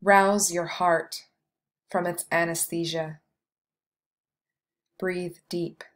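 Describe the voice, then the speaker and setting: A woman leading a guided meditation, speaking slowly and softly with long pauses between phrases. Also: on the low side, steady tone. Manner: slow, soft, soothing delivery with long pauses.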